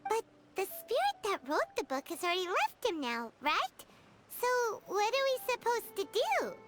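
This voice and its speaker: A woman voicing a tiny, excitable, easily frightened fairy companion in a video game, whiny and expressive. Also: very high-pitched, a little slow, exaggerated voice. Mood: puzzled. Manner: refers to herself in third person, whiny, expressive. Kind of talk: video game character acting. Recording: game music behind the voice.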